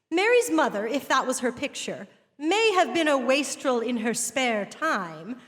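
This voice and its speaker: High-voiced, animated, indignant lady essayist, echoey hall. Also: heavily acted. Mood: wry, observant. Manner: theatrical, emphatic, arch rhetorical questioning. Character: witty, indignant 1920s woman essayist, wryly scornful. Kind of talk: dramatic stage reading of a literary essay. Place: on stage in a theatre. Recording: echoey theatre hall, long reverb.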